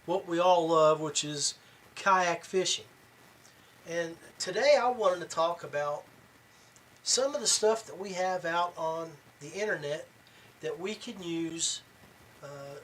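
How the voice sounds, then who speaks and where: Man, podcast host, slightly high voice, casual solo talk, halting delivery, background noise. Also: fairly bright tone, low-quality recording.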